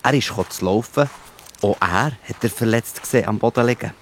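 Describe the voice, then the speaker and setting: A man's voice: fairly low pitch, fast, slight background noise. A man with a Swiss German accent telling a scripted children's Bible story.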